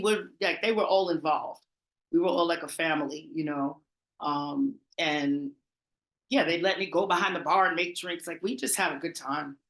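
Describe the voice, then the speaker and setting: Middle-aged Bronx woman, lowish voice, animated storyteller, echoey room. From the Bronx, New York. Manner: animated, chatty, laughs often, trailing restarts. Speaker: party promoter, interview guest. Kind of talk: casual interview storytelling.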